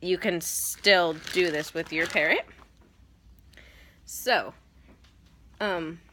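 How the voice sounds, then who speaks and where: A woman's voice: on the low side, drawn-out words, pushed and bright, slight background noise. A woman with a cold and a stuffed-up voice, casually explaining parrot training in a rambling way with many ums and self-corrections. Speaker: parrot owner giving a training demo. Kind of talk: casual how-to demonstration.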